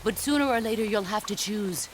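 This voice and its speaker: An actress playing a stern middle-aged female soldier and former mentor, speaking calmly and gravely in a game cutscene. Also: mid-range voice, noisy background, close to an everyday voice. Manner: calm, measured, grave. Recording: game cutscene with loud music behind.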